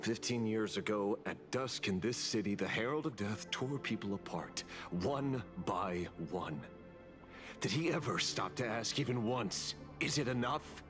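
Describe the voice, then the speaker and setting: Low-voiced stern interrogator guy, man acting it, cold and probing, game music loud behind. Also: measured pace, natural voice. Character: stern male interrogator facing down a cult. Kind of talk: game dub dialogue.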